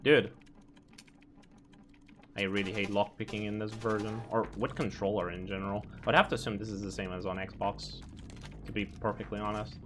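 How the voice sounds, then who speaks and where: A man's voice: on the low side, level tone, moderate pace, long pauses, a little hiss or hum. A Romanian man who sounds American, casually commentating his speedrun and chatting with viewers, easily exasperated. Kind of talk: casual live commentary over gameplay.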